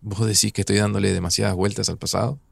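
A man playing an older Argentine father in an audio drama, speaking in a gentle, wistful, reflective way. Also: fairly low pitch, steady tone, fast, gravelly, dry recording, studio quality.

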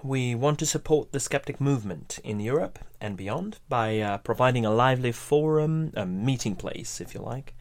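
A man's voice: mid-range voice, lively intonation, normal speed, few pauses. A young man from Hungary, an English speaker, hosting a casual podcast chat, hesitant with many restarts. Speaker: podcast host.

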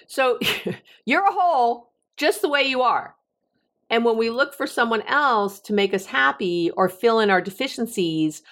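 A woman's voice: on the low side, a bit bright. A middle-aged woman hosting a relationship advice podcast, talking casually on her own, joking and laughing at herself, with frequent restarts. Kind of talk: casual solo podcast talk.

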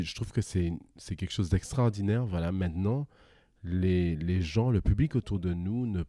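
Deep dark-voiced man, halting and laughing. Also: no echo. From Guadeloupe. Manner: hesitant, restarts often, laughs easily. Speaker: guest. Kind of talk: casual interview chat.

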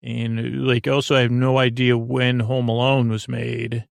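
A man hosting a bedtime podcast in a gentle, reassuring, informal way, meandering and halting with many false starts, in a creaky, gravelly voice. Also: mid-range voice, little pitch movement, no room sound. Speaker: podcast host. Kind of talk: rambling, soothing bedtime podcast monologue.

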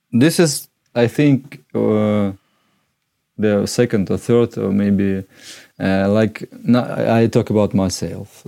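Low, mellow Ukrainian man, halting English. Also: creaky. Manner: halting, searching for words in non-native English. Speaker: podcast guest. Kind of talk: conversational interview answers.

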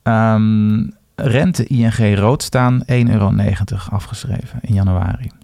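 A man co-hosting a podcast in casual chat, speaking in a hesitant, halting way. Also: lowish voice, steady tone, gravelly, dark tone, no echo, clean studio sound. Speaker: podcast co-host.

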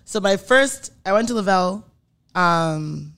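A podcast co-host chatting casually, reacting quickly and rambling through stories. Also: medium pitch, lively intonation, fairly bright tone, smooth. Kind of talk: casual chat.

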